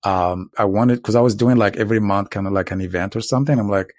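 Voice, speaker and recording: A man's voice: on the low side, fast talker, a little mellow, dry recording, studio quality. A man telling stories casually in conversation as a podcast guest, in a reflective, philosophical manner. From Morocco.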